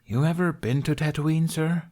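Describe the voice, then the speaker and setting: A man's voice: fairly high pitch, normal speed, mellow, pitched above the performer's own voice, natural voice. A man voicing a skeptical, complaining young male private in an audiobook. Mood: curious. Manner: incredulous, complaining. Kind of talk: audiobook character dialogue.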